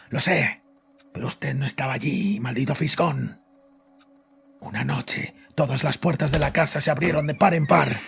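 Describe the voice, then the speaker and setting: An actor playing a guilt-ridden, frightened father confessing to his psychiatrist in a horror audio drama, agitated and halting. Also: medium pitch, creaky, fairly bright tone, breathy edge, full character voice. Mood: agitated, desperate. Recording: voice over background music.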